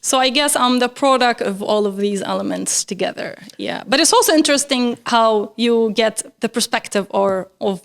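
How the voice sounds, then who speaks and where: A woman's voice: a little high, quick pace, gravelly, few pauses, clean tone. A young woman from Syria, a guest artist talking casually and thoughtfully, laughing often. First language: Arabic. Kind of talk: casual interview chat.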